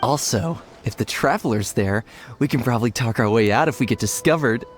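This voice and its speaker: A man's voice: mid-range voice, brisk, soft background music, natural voice. A man voicing a cautious young gang underling in a video game, with a wary, reasoning manner. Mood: wary, scheming.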